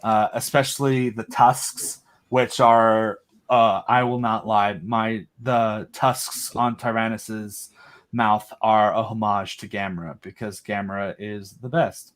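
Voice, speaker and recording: A man's voice: fairly low pitch, level tone, slow pace. A man as an interview guest, eagerly explaining his own creative project in casual, rambling chat. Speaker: guest, creator of an audio drama.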